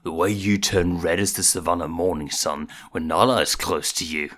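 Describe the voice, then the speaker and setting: A man reads a sly young lion cub in a storybook tale, with a fairly low voice. He is dry, mocking and easily irritated. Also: fairly even intonation, moderate pace, a bit bright. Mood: teasing.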